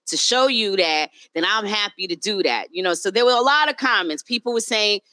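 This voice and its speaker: A woman with a New York accent hosts a relaxed conversation, upbeat and encouraging. Her voice is bright and forceful at an everyday pitch, and it sounds dry and close. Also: fairly quick, dry recording. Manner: upbeat, encouraging, sets up topics. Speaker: podcast co-host. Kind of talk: hosting a casual chat show.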